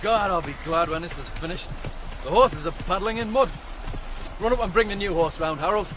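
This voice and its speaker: A man's voice: high-pitched, fairly quick, background noise, lightly acted. A man playing a blunt, grumbling farm ploughman with a hearty manner in a radio drama. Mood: exasperated. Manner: hearty, swearing, calls out to horses.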